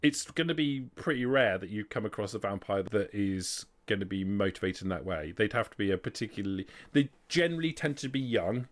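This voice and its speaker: A man with a fairly low voice leads a tabletop roleplaying game. He explains the rules and lore in careful detail, laying out each point as game master. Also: animated, a little fast, few pauses.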